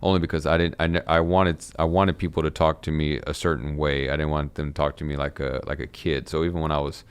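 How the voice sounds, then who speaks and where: A man in his thirties, a fitness coach talking as a podcast guest, halting with many fillers and restarts. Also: extremely low pitch, little pitch movement, clear, resonant tone. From United States. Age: 30s. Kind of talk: casual conversation.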